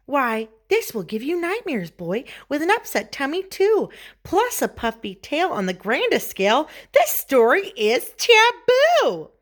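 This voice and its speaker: A woman voicing an exasperated, scolding mother cat in a storybook, with emphatic, drawn-out words. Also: sits high, huge pitch swings, voice pushed up, exaggerated voice. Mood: dramatic disapproval.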